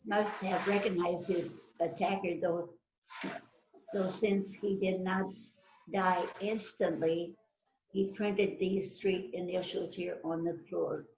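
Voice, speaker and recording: Woman reading a police detective in amateur play, slow n deliberate, roomy muffled audio w some noise. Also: lowish voice, fairly even intonation, creaky. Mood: probing. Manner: halting, deliberate script reading with stumbles. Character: police detective, probing, self-assured, methodical. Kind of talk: amateur readers theater, read from script. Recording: roomy echo, dull muffled sound, noisy.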